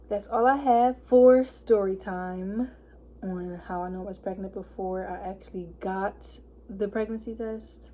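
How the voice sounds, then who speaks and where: A hoarse woman of twenty-one, chatting casually to camera and packing her talk with fillers. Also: medium pitch, dark tone, slight background noise. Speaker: vlogger. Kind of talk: casual story time chat.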